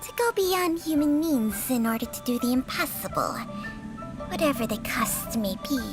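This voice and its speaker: A woman voicing a small, outspoken, childlike snake companion in a video game, with expressive, theatrical phrasing. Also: high-pitched, moderate pace. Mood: solemn. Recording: game audio with prominent music behind.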